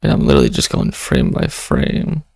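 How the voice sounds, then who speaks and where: Expressive reactor with lively, creaky voice. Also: rumbling low, moderate pace, dry recording, studio quality. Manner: informal, expressive, sweary. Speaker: host of a reaction video. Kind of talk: casual reaction commentary.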